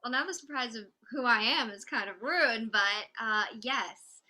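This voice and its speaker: A woman's voice: fairly high pitch, normal speed, pushed and bright. A woman speaking as a dramaturg on a panel, in casual, reflective talk.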